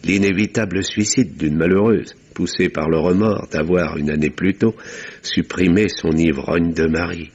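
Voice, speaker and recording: An actor playing a weary, reserved country doctor who narrates a radio mystery in a measured, formal, literary way. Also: male voice, deep voice, fairly even intonation, darkish tone, breathy edge, muffled recording. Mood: grave, measured. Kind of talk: French radio mystery drama acting and narration.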